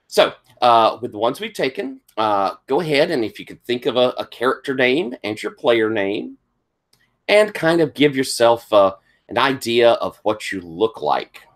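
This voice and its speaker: A man's voice: mid-range voice, expressive, moderate pace. A tired man with a thick Southern US accent, politely walking players through tabletop game setup as game master. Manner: polite, accommodating. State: tired from lack of sleep.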